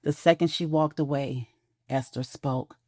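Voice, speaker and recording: Brief: female narrator, low and mellow, measured, dry studio. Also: fairly even intonation, no echo. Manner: measured storytelling. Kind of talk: scripted audio drama narration.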